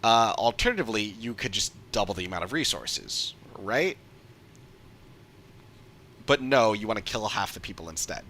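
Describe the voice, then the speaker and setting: Man, bright forceful voice, slightly low pitch, casual game stream commentary, emphatic, some background noise. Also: expressive, moderate pace, long pauses. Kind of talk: casual off-the-cuff livestream commentary.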